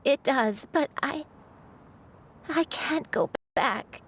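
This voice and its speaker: A faltering forgetful granny. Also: female voice, high-pitched, a little hiss or hum, full character voice. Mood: distressed. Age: older. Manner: soft, halting, bewildered. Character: frail forgetful old grandmother, gentle.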